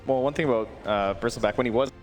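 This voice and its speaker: A man casting an esports draft, analytical and quick to laugh. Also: mid-range voice, soft background music. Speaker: esports caster. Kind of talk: casual draft commentary.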